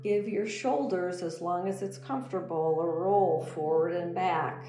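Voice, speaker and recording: A woman leading a guided meditation as a teacher, speaking in a gentle, soothing, unhurried instructional manner. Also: on the low side. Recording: roomy echo under background music. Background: meditation music.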